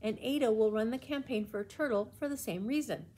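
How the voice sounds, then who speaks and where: A woman's voice: a little high, mellow, a little hiss or hum, lightly acted. Woman reading as an encouraging, emphatic schoolteacher. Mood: earnest, solemn. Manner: measured, emphatic explaining to children.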